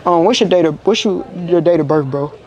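A man's voice: fairly high pitch, a little fast, a little mellow, lo-fi sound. A man in his twenties chatting casually and slangily in public, as a prankster vlogger. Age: 20s. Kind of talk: casual slangy street chat. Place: public places, in a store and out on city streets.

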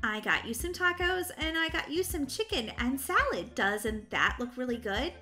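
High, bright, fussy babysitter. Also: female voice, lively intonation, normal speed, soft background music. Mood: coaxing. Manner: exaggerated, sing-song scolding and coaxing. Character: young female babysitter, fussy and exasperated. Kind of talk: children's game roleplay acting.

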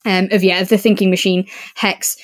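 A woman's voice: medium pitch, little pitch movement, normal speed, dry recording. A woman co-hosting a podcast, chatting casually and effusively about books. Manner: enthusiastic, effusive. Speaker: podcast co-host. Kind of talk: casual book review discussion.

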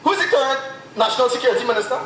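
A man's voice: very high voice, lively intonation, very fast, fairly bright tone. A man with a Trinidadian accent hosting a street quiz on a beach, upbeat and hyping up the people he interviews. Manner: upbeat, hyping, laughing often. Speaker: street quiz show host. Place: outdoors on a beach. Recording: played back through a reaction video, echoey with outdoor noise.

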